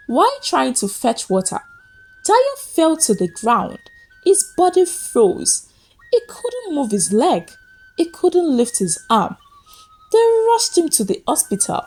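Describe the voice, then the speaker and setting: A woman narrating a scripted folktale as a storyteller, with suspenseful, deliberate phrasing. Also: high voice, wildly swooping pitch, a little mellow. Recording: music behind the voice. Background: background music.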